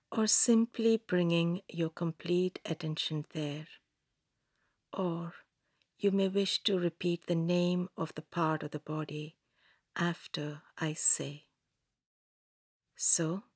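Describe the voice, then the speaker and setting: A woman guiding a yoga nidra meditation in a calm, soothing manner, giving gentle instructions in a soft, breathy voice with long pauses. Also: on the low side, no room sound, studio quality. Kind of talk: scripted guided meditation narration.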